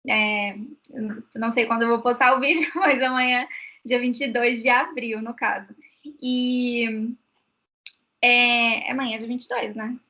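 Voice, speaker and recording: A woman's voice: fairly high pitch, fairly even intonation, a little slow, pauses often, pushed and bright, muffled recording. A woman with a Brazilian accent chatting casually as a host. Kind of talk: casual chat.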